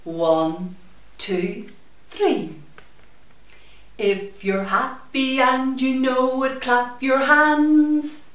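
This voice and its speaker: A middle-aged woman hosting children's story time in an animated, sing-song manner, talking and singing to small children. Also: high-pitched, drawn-out words, pauses often. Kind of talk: children's story time with puppet chat and songs. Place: indoors, small room in a community library. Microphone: camera a few metres away. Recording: very echoey room, distant microphone.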